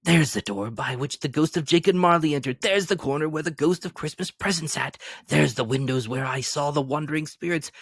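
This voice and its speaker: A man voicing an elderly reformed miser in a dramatic storybook reading, giddy and gleeful, with excitable, exclamatory delivery. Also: on the high side, a little fast, hardly any pauses, dry recording, studio quality, voice pushed up. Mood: giddy, exultant. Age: older. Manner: excitable, exclamatory, whooping outbursts.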